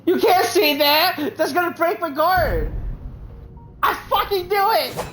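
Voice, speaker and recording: A woman streamer giving casual gaming commentary, reacting with loud exclamations and plenty of swearing. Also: very high voice, expressive, slow, slight background noise.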